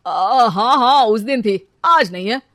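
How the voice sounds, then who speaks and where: A woman's voice: a little high, expressive, slow pace, a bit bright, no echo, clean studio sound. A woman voicing a boastful, fibbing young man in a comic story, making glib, stammering excuses without shame. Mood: evasive. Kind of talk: comic Hindi audio story dialogue.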